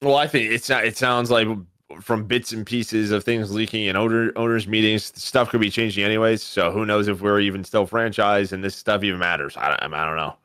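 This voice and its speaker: A man's voice: fairly low pitch, fairly even intonation, fairly quick, fairly bright tone, clear, resonant tone, dry recording, studio quality. A man co-hosting a podcast in a casual, conversational style, moving between opinions and listener questions. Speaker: esports commentator and podcast co-host.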